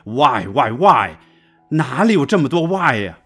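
Casting: man as firm, teacherly dad of a young girl, mid-pitched, expressive delivery, radio drama. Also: a little slow, breathy edge, faint music behind, heavily acted. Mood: insistent, exasperated. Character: insistent, instructive father of a young girl.

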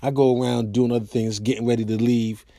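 An American man hosting his own podcast, telling comic stories in a loose, animated way. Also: medium pitch, brisk, no echo, clean studio sound. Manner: animated storyteller, acts out quoted voices. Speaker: podcast host and comedian. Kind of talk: casual storytelling monologue.

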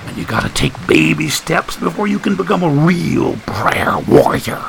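A man's voice: a little high, expressive, normal speed, vocal fry, a little hiss or hum. A man voicing a folksy, preachy wisecracking man who talks in country grammar and sermonizing quips. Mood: teasing.

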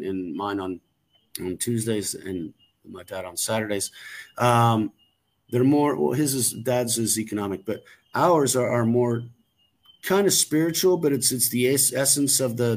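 A man in casual podcast conversation, rambling with frequent ums and restarts and casual swearing. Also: medium pitch. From United States. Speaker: podcast co-host.

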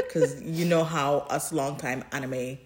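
Low-pitched woman, casual podcast co-host. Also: a little mellow. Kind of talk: casual chat.

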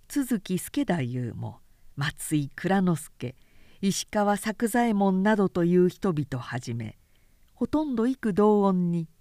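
A woman's voice: mid-range voice, warm tone, dry recording. Composed woman narrator in a very dry recording. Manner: measured, composed storytelling. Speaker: narrator reading a story aloud. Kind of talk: literary audiobook narration.

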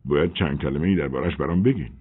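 A man reading a low, gruff police inspector. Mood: steady. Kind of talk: audiobook character voice. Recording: tinny phone-like audio.

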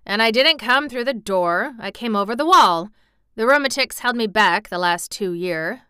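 A woman narrator plays an old Yorkshire gardener, stubborn and dryly funny. The storybook dialect is drawn out and grumbling, yet tender underneath. The voice is clear and bright, recorded close and dry. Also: a little high, lively intonation, no room sound. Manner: dry, grumpy, slow country dialect.

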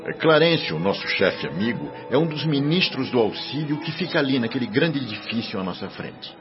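Male actor for earnest, instructive spirit guide in radio drama, lively intonation, slightly muffled with soft music. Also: mid-range voice, lightly acted. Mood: explanatory. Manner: didactic, reverent, measured. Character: kindly, earnest male spirit guide explaining a celestial colony. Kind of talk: Brazilian radio soap acting.

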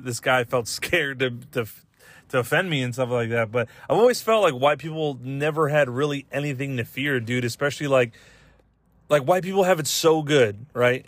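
A man's voice: mid-range voice, dry recording. A man hosting a podcast, rambling casually and comedically in slangy, profane English as he retells everyday exchanges. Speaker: podcast host.

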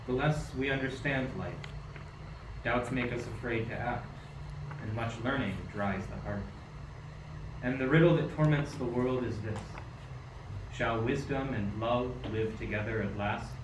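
Casting: man as learned elderly king, middle pitch, solemn radio drama, distant in a noisy room. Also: a little slow, pauses often, roomy sound, close to an everyday voice. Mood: troubled, grave. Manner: solemn, declamatory. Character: wise, learned, devout old king. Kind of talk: amateur radio play acting.

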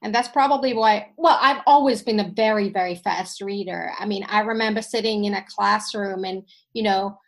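A woman's voice: mid-range voice, normal speed, gravelly, few pauses. A woman from Sweden whose first language is Finnish, a podcast guest chatting and telling stories from her life with plenty of fillers. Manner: reflective, storytelling, with fillers. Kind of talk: casual chat.

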